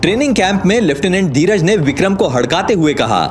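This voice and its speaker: Male narrator, fairly high voice, very animated pitch, suspenseful, emphatic, scripted storytelling. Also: fairly quick, soft background music. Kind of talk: dramatic scripted narration of Hindi audio story.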